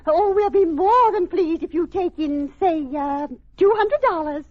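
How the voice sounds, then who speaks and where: An actress playing a gushing, pushy charity matron in an old radio sitcom, with fluttery, affected sweetness. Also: female voice, very high-pitched, a little slow. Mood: coaxing. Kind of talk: 1950s radio sitcom acting before a live audience. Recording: muffled old radio recording with studio audience.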